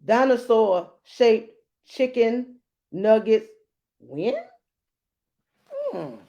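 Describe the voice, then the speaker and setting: A woman's voice: a little high, drawn-out words, mellow, lo-fi sound. A woman reading a children's storybook aloud in a dramatic way, with playful asides. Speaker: storybook reader. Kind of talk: animated children's read-aloud narration.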